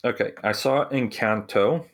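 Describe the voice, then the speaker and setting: A man hosting a podcast in a casual film-review chat, blunt and given to pauses. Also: mid-range voice. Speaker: podcast host.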